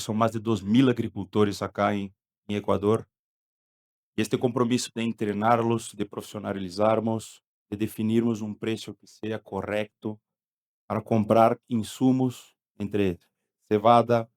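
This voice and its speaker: A middle-aged man speaks with a Brazilian accent, shaped by his native Portuguese. His voice sits on the low side. He delivers panel remarks in the role of a company executive.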